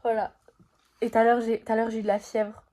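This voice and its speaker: A woman's voice: on the high side, level tone, quick pace, dark tone. A woman vlogging from her bedroom at home with a stuffy nose from a cold, chatting straight to her viewers with plenty of fillers. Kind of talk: casual chat to camera.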